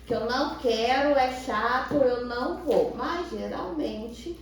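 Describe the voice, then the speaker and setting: A woman's voice: high voice, takes it slowly. A middle-aged Brazilian woman co-hosting a podcast in casual, playfully teasing chat. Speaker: podcast co-host. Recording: echoey room, distant microphone.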